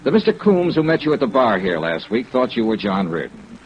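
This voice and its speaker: Gravelly, low-voiced radio detective. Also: male voice, big pitch swings, fairly quick. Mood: pressing. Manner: terse, probing, wry questioning. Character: cool, probing male insurance investigator, hard-boiled and persistent. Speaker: insurance investigator lead and narrator. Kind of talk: old-time radio detective drama acting. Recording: dull old radio recording, rain noise.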